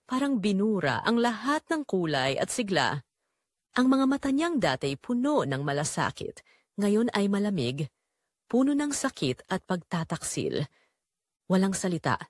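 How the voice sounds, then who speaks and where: A woman's voice: medium pitch, dry recording, studio quality. A woman narrating a scripted first-person audio drama in an emotive, sorrowful storytelling manner.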